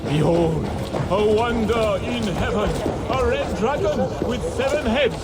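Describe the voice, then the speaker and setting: High-pitched, zealous doomsday preacher. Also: male voice, lively intonation, measured pace, loud music behind the voice. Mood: ominous preaching. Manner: declamatory, accusing. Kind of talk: fiery apocalyptic sermon in a game cutscene. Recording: heavy ambient noise behind.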